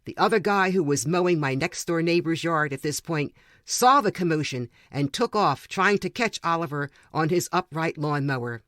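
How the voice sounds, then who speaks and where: A man with a slightly high voice reads a light, humorous story aloud in a narrator's style. The recording is dry and close, with little sound of the room. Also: expressive, moderate pace, fairly bright tone, no room sound. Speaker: reader of short stories for a podcast.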